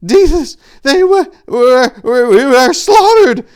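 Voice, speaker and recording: A man voicing a terrified young monk in a fantasy horror story, shrill, trembling and stammering. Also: expressive, slow pace, darkish tone, full character voice.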